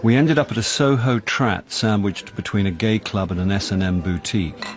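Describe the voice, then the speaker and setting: A man acting as a world-weary British jobbing actor in middle age, with a lowish voice. He is dry and sardonic, forever undercutting himself, in the manner of a radio mystery's narrator-hero. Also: moderate pace, close to an everyday voice. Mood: wry narration. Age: middle-aged. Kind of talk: radio drama acting, with first-person narration.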